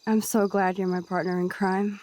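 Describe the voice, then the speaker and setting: A young American woman playing an earnest, cautious teenage girl in a video game, speaking softly. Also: medium pitch, flat delivery, moderate pace, close to an everyday voice. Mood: earnest, reassuring. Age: young adult.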